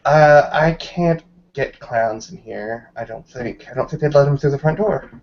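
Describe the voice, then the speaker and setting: A man's voice: mid-range voice, normal speed, a little mellow, lightly acted. A man plays a cheeky werewolf woman in a tabletop roleplay. She is wry, evasive and casual. The recording is slightly dull with faint noise. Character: smart-aleck female werewolf, cheeky and evasive.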